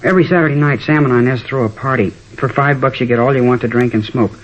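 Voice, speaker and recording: An actor playing a troubled, hesitant high school boy who cooperates as a witness in a 1950s radio drama. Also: quick pace, close to an everyday voice. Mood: forthcoming. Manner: hesitant, slangy. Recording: muffled vintage radio recording.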